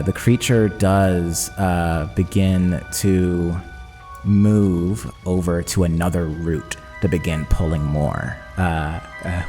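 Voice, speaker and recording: A man narrating a tabletop game as game master, in halting, suspenseful descriptions. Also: low-pitched, a little mellow. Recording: long hall reverb, background music. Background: music.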